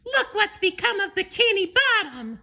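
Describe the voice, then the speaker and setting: An eager young cartoon sponge, pitched way up high and bright. He sounds earnest and excitable, always cheerfully encouraging. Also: moderate pace, creaky, exaggerated voice. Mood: distressed, urgent.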